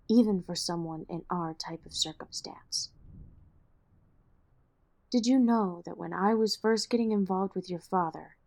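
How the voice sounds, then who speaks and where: An actress playing a poised, firm, wealthy mother in an audio drama, speaking in a measured, commanding way. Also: medium pitch, darkish tone.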